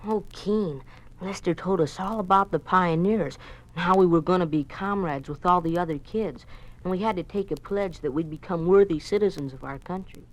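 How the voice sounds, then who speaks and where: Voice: mid-range voice, moderate pace, close to an everyday voice, rough recording. An actor with an American accent playing an earnest, naive young schoolboy in a 1950s radio drama. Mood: eager, wondering. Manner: eager, naive, polite. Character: earnest, eager young schoolboy, a Boy Scout and obedient son.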